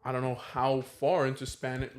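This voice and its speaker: Mid-pitched man asking questions. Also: level tone, normal speed. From United States. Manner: questioning, thinking aloud. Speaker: band member. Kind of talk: casual podcast chat.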